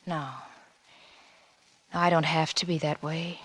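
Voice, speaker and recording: Bright-voiced American noir seductress. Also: female voice, deep voice, level tone, measured pace. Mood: coy, calculating. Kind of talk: 1940s radio noir drama acting.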